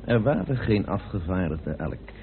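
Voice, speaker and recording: An actor playing a composed, well-informed British parliamentarian turned sleuth in a Dutch radio play, explaining things in a measured, deliberate way. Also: male voice, on the low side, big pitch swings, vocal fry, a little hiss or hum. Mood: explaining, assured. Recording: narrow, phone-like old radio recording.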